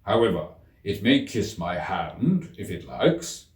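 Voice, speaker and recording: A man's voice: sits low, sing-song, slow, exaggerated voice. A man voicing a pompous, bossy king in a storybook reading, with a decided, indignant manner. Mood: haughty, indignant. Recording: roomy echo, distant mic.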